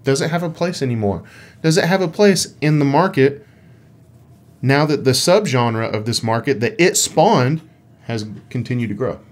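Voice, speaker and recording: A man's voice: medium pitch, animated, normal speed. A man co-hosting a casual gaming podcast, with a playful, dryly teasing way of talking. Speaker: podcast co-host.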